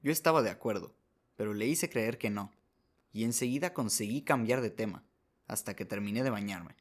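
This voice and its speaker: A man's voice: lowish voice, brisk. A man narrating a short story as a scripted radio drama, reading as the narrator.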